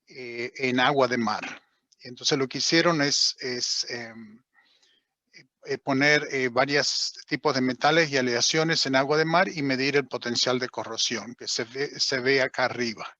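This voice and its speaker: A man's voice: medium pitch, sharp tone, dry recording, highs cut off. Hesitant man lecturing with many fillers. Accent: non-Argentine accent in Spanish. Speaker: seminar lecturer on corrosion. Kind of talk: technical webinar talk with slides.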